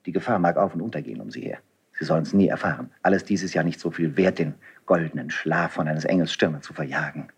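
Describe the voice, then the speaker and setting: A man's voice: a bass voice, fast talker, breathy edge, heavily acted. An actor playing an idealistic nobleman and conspirator in a classical verse radio drama, earnest, rhetorical and impassioned. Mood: measured, secretive.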